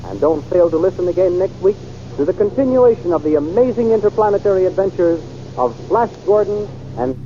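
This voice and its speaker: A man narrating an old radio adventure serial and reading its sponsor copy in a dramatic, brisk announcing manner, on a muffled old radio transcription. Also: high-pitched, expressive, background noise.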